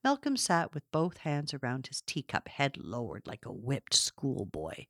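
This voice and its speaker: A woman narrating a literary story as an audiobook reader, with measured, deliberate and expressive phrasing. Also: extremely low pitch, vocal fry, no echo, studio quality.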